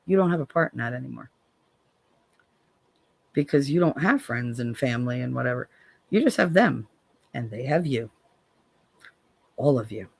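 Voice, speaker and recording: A woman's voice: vocal fry, husky, dry recording. An American woman hosting a casual livestream, reading viewer comments and talking bluntly, with profanity and sarcastic jabs. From United States. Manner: blunt and profane, swings from low weary tones to sharp sarcastic jabs. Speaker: livestream host.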